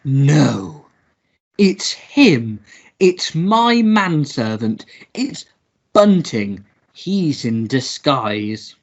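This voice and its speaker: A hysterical, childish gentleman hero in a radio melodrama. The delivery is very slow and halting, with wild swoops of pitch, on a dull recording. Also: big pitch swings, exaggerated voice. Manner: overwrought, halting, wailing. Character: dazed, hysterical, childish gentleman hero mourning his teddy. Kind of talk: melodramatic radio play acting.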